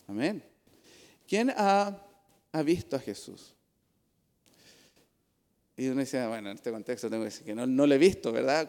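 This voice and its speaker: A man's voice: fairly high pitch, a little mellow. A middle-aged man with a Chilean accent preaching a sermon from the pulpit, earnest and conversational, with asides to the congregation. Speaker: pastor. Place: church. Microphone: pulpit microphone. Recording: long hall reverb in church.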